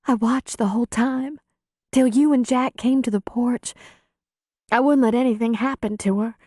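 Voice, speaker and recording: Audiobook role: female reader as anguished middle-aged farm grandmother; high, soft-toned, quavering, pleading. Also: fairly even intonation, dry recording, clean studio sound, close to an everyday voice. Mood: troubled, pleading. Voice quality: quavering, wailing voice. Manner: pleading, halting, folksy plain speech. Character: distraught rural grandmother, weary, pleading new mother. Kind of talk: audiobook character dialogue.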